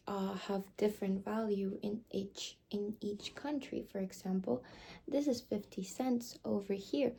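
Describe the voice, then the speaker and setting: Young female ASMR whisperer, gravelly and breathy, soft and halting. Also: mid-range voice. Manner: soft whispering, hesitant with many fillers. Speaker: ASMR creator.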